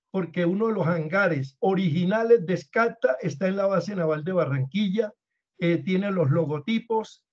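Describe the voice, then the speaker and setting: High-voiced man, formal point-by-point commentator, dull call audio. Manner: brisk, enumerates points. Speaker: audience member commenting. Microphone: video call.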